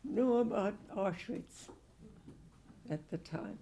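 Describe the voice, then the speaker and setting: A woman, answering audience questions about her life in a slow, halting way with frequent restarts. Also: mid-range voice, lively intonation, warm tone, slight background noise. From Hungary. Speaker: Holocaust survivor speaker.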